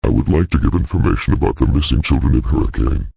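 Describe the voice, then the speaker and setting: A man acts out a brazen male kidnapper for an audio drama. The voice is extremely low and thick with gravel, mockingly polite and casual while withholding. The sound is dull and lo-fi. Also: fast, no echo, full character voice. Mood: calmly brazen. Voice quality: gravelly, husky, very deep. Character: brazen, taunting male child kidnapper calling emergency line. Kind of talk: amateur audio drama acting.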